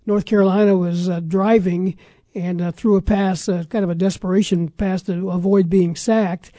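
A man's voice: high-pitched, little pitch movement, normal speed, mellow, no room sound, studio quality. A man with an American accent hosting casual sports talk, running through scores in a conversational, matter-of-fact way. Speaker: sports radio talk show host.

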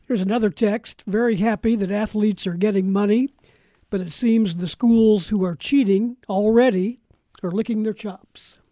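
A man's voice: high voice, fairly even intonation, moderate pace, dark tone, dry recording. An American man hosting a live sports talk show on radio in a conversational style. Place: radio studio.

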